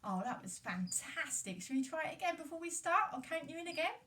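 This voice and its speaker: A woman's voice: high-pitched, sing-song, brisk, sharp tone, distant mic. A woman telling a story to young children in a puppet show, addressing them directly with exaggerated expression.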